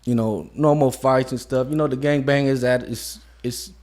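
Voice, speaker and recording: A man in his thirties from Modesto, California, talking quietly and reflectively as an interview guest and leaning often on "you know". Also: mid-range voice, steady tone, normal speed. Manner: soft-spoken, reflective, many "you know" fillers. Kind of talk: casual conversation.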